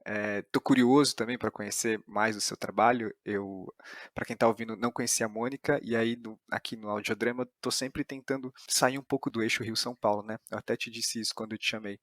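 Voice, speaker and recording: A man with a Brazilian accent hosting an interview podcast in an informal, slightly halting conversational way. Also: fairly low pitch, steady tone, fairly bright tone, no room sound, studio quality.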